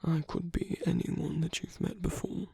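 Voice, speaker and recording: A man playing a suave, sly aristocratic villain in an ASMR roleplay, speaking in a soft, breathy, often near-whispered voice with languid, teasing politeness and veiled menace. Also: on the high side, steady tone, normal speed, no echo, clean studio sound, full character voice. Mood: teasing, seductive. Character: suave sly aristocratic villain, flirting and secretly scheming at a masquerade. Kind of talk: ASMR roleplay, intimate scripted acting.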